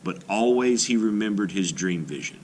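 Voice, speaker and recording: A man reading a children's folk tale aloud like a teacher, with deliberate, expressive phrasing. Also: lowish voice, a little hiss or hum. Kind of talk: storybook read-aloud narration.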